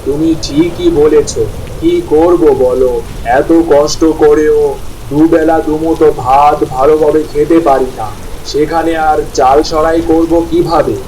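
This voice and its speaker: A man plays a poor village farmer and father in a cartoon, fretful and tender. His voice sits a little high and sighs with worry. It sounds off-mic, with clear rain noise around it. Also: fairly even intonation, measured pace, dark tone, recorded from a distance, close to an everyday voice. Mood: worried, resigned. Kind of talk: cartoon voice acting.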